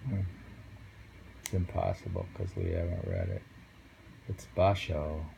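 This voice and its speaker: A man reading haiku aloud casually, musing and halting, murmuring to himself between poems. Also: extremely low pitch, sing-song, creaky, background noise. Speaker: reader of a haiku book. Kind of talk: casual poetry read-aloud with musing commentary.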